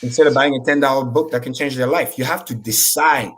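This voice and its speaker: A man with a middle-pitched voice whose first language is French talks in a casual, high-energy way. He preaches self-improvement on a livestream and swears freely. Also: a little fast, a bit bright. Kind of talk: casual motivational talk.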